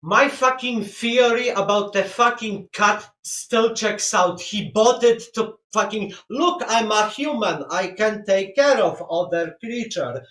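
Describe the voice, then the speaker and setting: A man's voice: high-pitched, slow pace, hardly any pauses, bright, forceful tone, echoey room. A man whose first language is Polish, co-hosting with crude, constant swearing in casual podcast banter.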